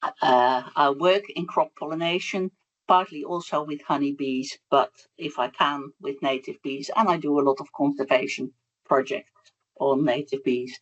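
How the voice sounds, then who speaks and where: A woman's voice: low-pitched, fairly even intonation, moderate pace, highs cut off. Dutch-accented woman giving a webinar talk. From Netherlands. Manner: hesitant, many fillers, warm about her topic. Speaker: bee researcher, guest speaker. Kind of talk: webinar presentation.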